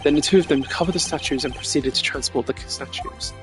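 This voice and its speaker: A man voicing a composed, cerebral prosecutor in a video game, formal and deliberate in his wording. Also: a little high, close to an everyday voice. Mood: explaining. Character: composed, cerebral male prosecutor arguing a case. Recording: game music behind the voice.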